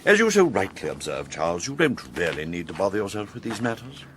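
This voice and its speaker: An actor in a radio drama playing a suave, sly upper-class English intelligence agent, speaking in an arch, languid, plummy way. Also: male voice, low voice, sing-song, fast, background noise. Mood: coolly sly.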